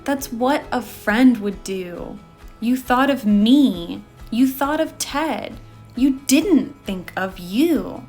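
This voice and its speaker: A woman's voice: a little high, lively intonation, slow, darkish tone, faint music behind. A woman voicing a kind, loyal little girl in a storybook, earnest, firm and thoughtful. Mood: cheerful.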